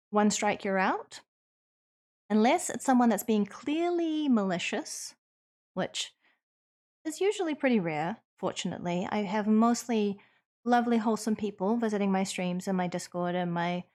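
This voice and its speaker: A woman livestream host with a New Zealand accent, talking to her chat in an earnest, measured and thoughtful conversational way. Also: medium pitch, darkish tone. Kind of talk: conversational livestream Q&A, partly reading chat aloud.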